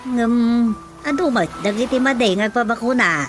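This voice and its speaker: Woman as fretful Ilocano village gossip, highish voice. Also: normal speed, soft background music, lightly acted. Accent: Filipino, Ilocano. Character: worried, gossipy village woman, easily alarmed. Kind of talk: radio drama comedy acting.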